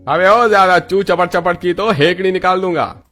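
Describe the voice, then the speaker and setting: A man's voice: high-pitched, moderate pace, fairly bright tone, soft background music. A man playing a bullying, abusive street policeman in a cartoon story, coarse and threatening. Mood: angry, threatening.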